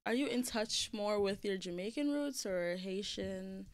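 A woman's voice: mid-range voice, animated, unhurried, slight background noise. A woman hosting a podcast, asking questions in casual chat with short acknowledgments and light teasing. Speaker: podcast host.

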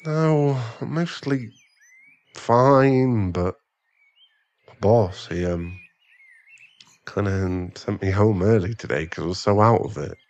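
A man's voice: on the low side, takes it slowly, long pauses, a little mellow, lo-fi sound. A man playing a remorseful sugar-daddy boyfriend in an ASMR audio roleplay, hesitant and stammering as he earnestly pleads for forgiveness. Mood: sheepish, earnest.

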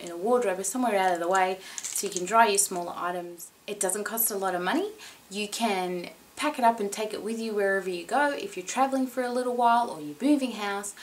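A woman in her thirties hosting a casual how-to vlog from a small room at home, talking straight to the camera in a chatty, animated way. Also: on the low side, a little hiss or hum, rough recording. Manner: chatty and animated, with expressive emphasis. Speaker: vlogger.

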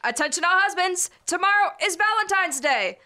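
A woman's voice: very high voice, animated, normal speed, heavy background noise. A young woman in her teens playing a showy announcer in 1950s radio style. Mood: showy, upbeat. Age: teenager. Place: school library. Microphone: standing stage microphone. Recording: loud music under the voice.

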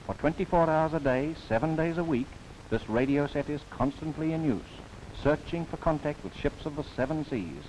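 A man from New Zealand giving formal, measured scripted narration for a 1930s government documentary film, recorded as a studio voice-over. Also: a little high, lively intonation, darkish tone, poor audio. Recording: old film soundtrack with faint hiss.

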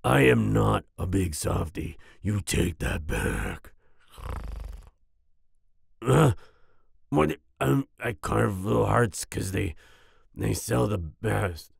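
Very gravelly, grumpy werewolf boyfriend. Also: male voice, lowish voice, no echo, clean studio sound, exaggerated voice. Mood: defensive, flustered. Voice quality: gravelly, growling, husky. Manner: grumbling, slow, with grunts and growls. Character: big grumpy werewolf boyfriend, gruff but secretly soft. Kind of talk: ASMR boyfriend roleplay.

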